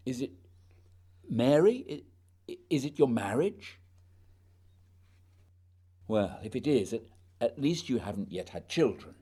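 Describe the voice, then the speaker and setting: A man playing an earnest, uneasy Edwardian gentleman explorer in a radio play, speaking formally and hesitantly in a husky voice. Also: medium pitch, expressive, slow, long pauses. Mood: concerned.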